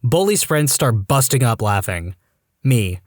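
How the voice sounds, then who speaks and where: A man reading online stories aloud in a scripted storytime narration, wry and lively, with dry asides. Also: medium pitch, unhurried, no echo.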